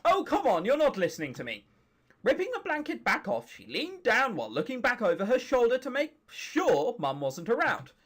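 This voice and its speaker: A man's voice: very high-pitched, huge pitch swings, normal speed, fairly bright tone. A British man in his late teens giving casual, sarcastic, sweary commentary while reading a visual novel aloud. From England or Britain. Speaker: gaming video host. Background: music.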